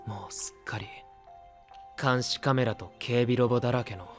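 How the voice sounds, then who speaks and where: A man voicing a calm, earnest young male knight who questions gently, over background music. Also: on the low side. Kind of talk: anime voice drama acting.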